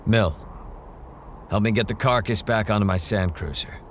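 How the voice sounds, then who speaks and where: An actor playing a hardened, confident monster hunter wandering a wasteland in a post-apocalyptic audio drama. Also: male voice, fairly low pitch, moderate pace, close to an everyday voice. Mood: gruff, wary. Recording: loud ambient noise behind the voice.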